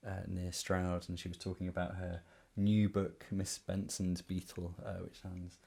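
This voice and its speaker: A man, a musician, chatting casually between songs in a hesitant, low-key way. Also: flat delivery, unhurried, creaky, dark tone. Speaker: musician presenting a concert.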